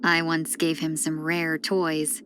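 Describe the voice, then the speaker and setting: A woman voicing a video game character, a gentle, wistful fox-woman who speaks softly and politely in measured, reflective lines. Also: low-pitched, completely flat delivery, slight background noise. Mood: fond, nostalgic. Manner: soft-spoken, measured, reflective, drifting into breathy near-whispers; polite and refined.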